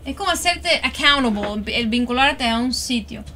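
Young woman, art-stream host, high bright chatty voice mixing in English. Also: expressive, moderate pace. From Cuba. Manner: chatty, mixes English words into Spanish. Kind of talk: casual live chat while painting. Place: home studio, indoors.

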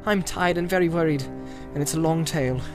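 A man's voice: fairly high pitch, brisk, lightly acted. A man voicing an earnest, weary and somewhat impatient young male hobbit traveller in an audiobook. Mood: tired, worried. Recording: music behind the voice.

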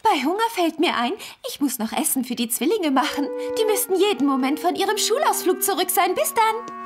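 Worried cartoon mum: fretful, very high register, lively melody. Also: female voice, fairly quick, over music. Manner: worried, emotional. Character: anxious, fretful cartoon mother. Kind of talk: animated series dub acting.

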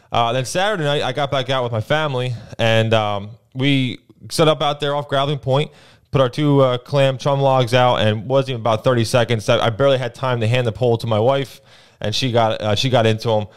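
A young man with a New Jersey accent co-hosting a fishing report, telling his weekend trips in a relaxed way full of fillers, at a boom mic in a small studio. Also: clean tone. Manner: relaxed storytelling with many uh and um. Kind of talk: casual fishing report chat. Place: small studio with brick wall, indoors. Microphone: boom mic on arm, headphones.

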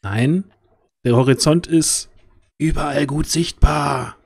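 A man's voice: on the high side, slow pace, darkish tone, no room sound. Casual man roleplaying, dry close sound. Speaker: roleplay player. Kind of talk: casual improvised roleplay chat. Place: home room, online video call.

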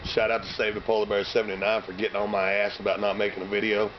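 Hoarse slangy man vlogging outdoors. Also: lowish voice, level tone, quick pace. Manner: loose slang-heavy shout-outs, drinking between lines. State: hoarse, losing his voice. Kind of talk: casual rambling vlog. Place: outdoors by bare trees and fields on a cold sunny day. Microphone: camera mic from a few meters away. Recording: dull lo-fi camera audio with outdoor noise.

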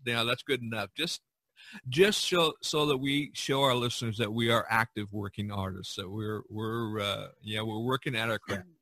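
A man's voice: fairly low pitch, fairly even intonation, normal speed, pushed and bright, no room sound. A man hosting a casual podcast chat among artist friends, rambling a little and repeating his phrases. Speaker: podcast host.

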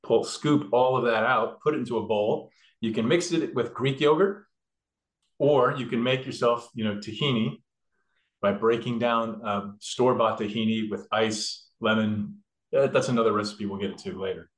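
A man's voice: medium pitch, normal speed. A man demonstrating a recipe in a kitchen, explaining each step casually with many fillers and restarts. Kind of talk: casual cooking demo. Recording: echoey room.

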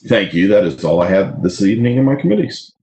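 A man's voice: deep voice, expressive, quick pace, mellow, slight background noise. A man in his thirties chairing a city council committee over a video call, formally reading legislation aloud. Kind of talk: formal council meeting proceedings. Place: home room on a video call.

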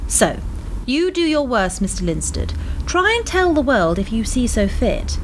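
A woman acting in a radio play as a cold, menacing power broker, formal and measured in her threats. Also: a little high, sing-song, slight background noise, low-quality recording. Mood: firm, threatening.

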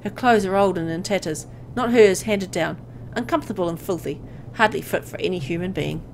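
A woman reading a visual novel aloud as dramatic scripted narration, with an expressive storytelling delivery. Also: lowish voice, a little fast, vocal fry, a little hiss or hum, poor audio.

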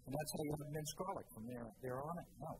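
A man's voice: a little high, fast, loud music behind the voice, poor audio. A middle-aged man hosting a studio cooking demo, casual and instructive, teasing his co-host and laughing often. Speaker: cooking show host demonstrating cookware. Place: studio kitchen set.

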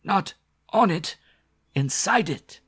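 A man's voice: high voice, expressive, slow pace, a bit bright, husky, voice pushed up, heavily acted. A man voicing a stubborn, defiant captive Martian in a story reading, with terse, dogged speech. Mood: grudging. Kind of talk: audiobook character voice.

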